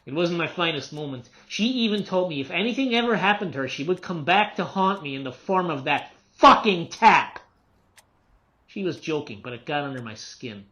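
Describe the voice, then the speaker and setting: An actor in a radio play playing a tense, unravelling writer and father, agitated, irritable and repeating himself haltingly. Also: on the high side, expressive, pushed and bright. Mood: bitter, ranting.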